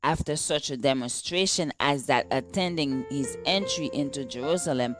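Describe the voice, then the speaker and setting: A woman reading a scripted daily devotional in a measured, deliberate manner. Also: very deep voice. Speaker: devotional reader. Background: background music.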